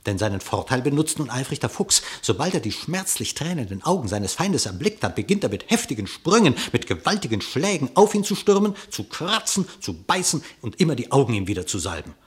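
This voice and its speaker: A man's voice: mid-range voice, sing-song, brisk, hardly any pauses. A man narrating an epic animal fable in verse for a radio play, with dramatic, vivid storytelling.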